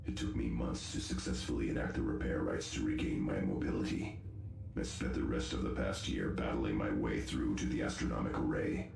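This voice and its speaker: Very deep gravelly cybernetic space marine. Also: male voice, flat delivery, a little mellow, exaggerated voice. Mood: solemn recounting. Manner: formal, measured, solemn. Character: grave cybernetic tech-priest space marine, male, stoic survivor. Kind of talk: dramatic sci-fi game acting. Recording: roomy echo, music underneath.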